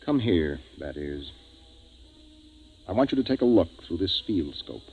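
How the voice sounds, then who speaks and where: A man's voice: low voice, very animated, a little slow, heavily acted. An actor playing a grave, decisive male spaceship captain who narrates his tale in a 1950s radio drama. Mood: grim. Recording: dull 1950s radio recording, music behind.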